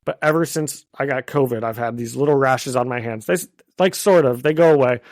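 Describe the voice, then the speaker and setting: A man's voice: medium pitch, fairly quick, darkish tone, dry recording, studio quality. A man co-hosting a podcast in casual chat, getting over a cold with a slight cough, chatty and laughing often. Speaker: podcast co-host.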